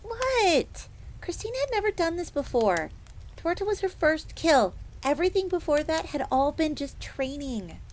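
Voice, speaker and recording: A woman's voice: very high voice, big pitch swings, unhurried, heavily acted. A woman voicing a polite, eager fox maid assassin who tells her tale in the third person in an audio roleplay. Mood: indignant. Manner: refers to herself in third person, genteel. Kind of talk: in-character storytelling, audio roleplay. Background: crackling fire ambience.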